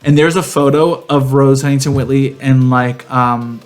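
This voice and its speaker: A man's voice: medium pitch, steady tone, normal speed, faint music behind. A man co-hosting a podcast, casually telling personal stories in a campy, sassy way full of slangy asides. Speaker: podcast co-host. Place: home garage studio.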